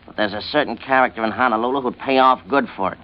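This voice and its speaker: A man's voice: medium pitch, quick pace, lightly acted. A man plays a crook's wisecracking henchman. He has a mid-range voice and cuts in with blunt, sardonic slang. The sound is a dull vintage radio drama recording. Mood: sardonic, impatient. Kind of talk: old-time radio drama acting. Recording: dull, band-limited old radio recording.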